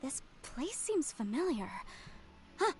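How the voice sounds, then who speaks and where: An earnest young researcher from a fantasy game. Her voice is on the high side and explanatory in manner, with soft music underneath. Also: very animated, slow pace, full character voice. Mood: thoughtful. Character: earnest, slightly exasperated young female ruins researcher. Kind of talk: video game voice acting.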